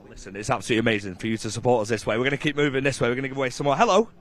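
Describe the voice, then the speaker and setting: A man's voice: mid-range voice, very fast, a bit bright. A man working as a roving presenter, chatting directly and upbeat with fans in live outdoor interviews. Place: outdoors outside a stadium.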